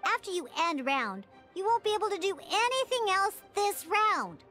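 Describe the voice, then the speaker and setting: Squeaky, very high-pitched childlike guide. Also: female voice, very animated, normal speed, bright, forceful tone, soft background music, heavily acted. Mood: cheerful, instructive. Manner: perky, encouraging, exclamatory. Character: small bubbly excitable childlike girl companion and guide. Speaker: game tutorial guide. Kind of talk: animated video game character tutorial dialogue.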